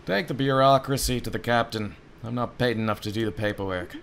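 A man's voice: mid-range voice, a little fast, creaky, fairly bright tone, a little hiss or hum. A man voicing a lazy, bribe-seeking male knight on guard duty in an adventure game. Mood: officious.

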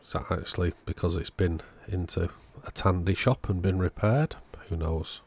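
A man calmly narrating a radio repair at his workbench in a matter-of-fact way. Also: sits low, normal speed, breathy edge. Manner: relaxed, matter-of-fact commentary while working. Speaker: electronics repair channel host. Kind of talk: narrated bench repair tutorial. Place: workbench indoors.

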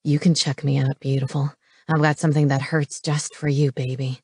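A woman's voice: deep voice, level tone, normal speed, a little mellow, husky, no room sound, clean studio sound, voice pushed down. A woman voicing a lustful, domineering young male wolf shifter in an audiobook, with a growling, commanding delivery. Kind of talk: paranormal romance audiobook character.

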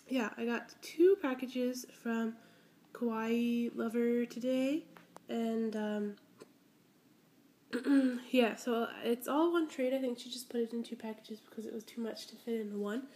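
A woman's voice: fairly high pitch, steady tone, unhurried, warm tone, slight background noise. A young woman vlogger with a head cold, chatting casually and rambling as she unboxes small toys, gushing and giggling. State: congested with a cold. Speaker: squishy collector vlogger. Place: indoors at home, camera pointed at a table.

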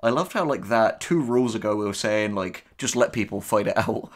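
A man's voice: on the low side, animated, a little fast. A man co-hosting a casual reaction show, reading posts aloud and commenting with sarcasm and swearing. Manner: sarcastic, profane. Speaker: co-host, audio drama writer.

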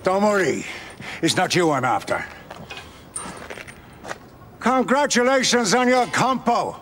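Older Dublin dad, bitter and grieving, wildly swinging pitch, gritty voice, noisy background. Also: male voice, big pitch swings, fairly bright tone, heavily acted. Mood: angry, confronting. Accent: Dublin Irish. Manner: intense, halting, accusing. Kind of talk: television drama acting.

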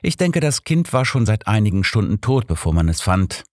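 A man's voice: fairly low pitch, sing-song, moderate pace, dry recording, clean studio sound, voice pushed up. A man voicing a courteous, sympathetic village doctor in an audiobook, polite and explanatory. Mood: earnest. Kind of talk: audiobook character dialogue.

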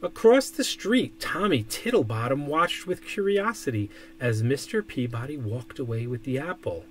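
A man reading a children's picture book aloud expressively, with deliberate, emphatic phrasing. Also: mid-range voice, expressive, a little hiss or hum. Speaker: storybook reader. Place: indoor room.

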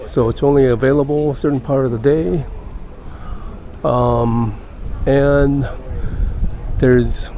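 An older man giving casual walking-tour narration as a travel vlogger, halting and pausing mid-sentence. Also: mid-range voice, warm tone. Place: outdoors in busy city streets and squares. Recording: outdoor city street noise.

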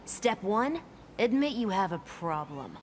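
A woman's voice: medium pitch, big pitch swings, a little slow, slight background noise. An actress playing a cocky, sarcastic young female soldier in a video game, flippant and snarky. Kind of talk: video game character dialogue.

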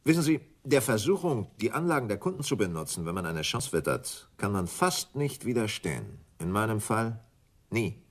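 An actor playing a smooth, scheming English stockbroker in a German radio crime drama, speaking in a deliberate, condescending way. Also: male voice, fairly low pitch, big pitch swings. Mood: confiding, cool.

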